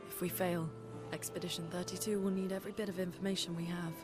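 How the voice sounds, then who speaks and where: A woman voicing a determined, thoughtful young expedition leader in a video game cutscene, focused and gentle in her questions. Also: on the low side, flat delivery, fast, natural voice. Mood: soft, reflective. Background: music.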